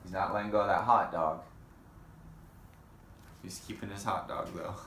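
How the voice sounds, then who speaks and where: A man reading a children's picture book aloud in an unhurried way, pausing for casual comments and questions. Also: low-pitched, steady tone, creaky, a bit bright. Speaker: storybook reader. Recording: roomy echo with faint background noise.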